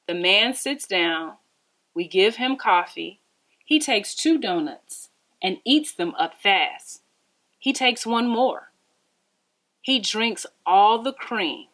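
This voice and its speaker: A woman reading a children's story aloud in an expressive, deliberate storytelling style. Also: mid-range voice. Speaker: read-aloud storybook reader.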